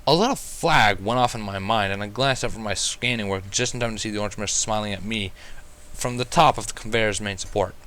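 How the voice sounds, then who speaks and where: A man's voice: fairly low pitch, a little fast, a bit bright, low-quality recording. A man narrating a first-person fan fiction story as a reader.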